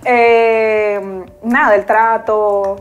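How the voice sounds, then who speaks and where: A woman's voice: medium pitch, little pitch movement, very slow, dull audio. A young woman from Caracas with a Venezuelan accent, chatting easily as a guest on a sofa in a small studio set and laughing often. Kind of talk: casual interview chat.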